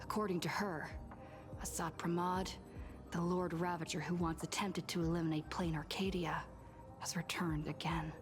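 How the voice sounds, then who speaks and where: A woman playing a composed young game heroine, narrating past events in a measured, serious scripted style. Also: lowish voice, flat delivery, natural voice. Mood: solemn, measured. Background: music.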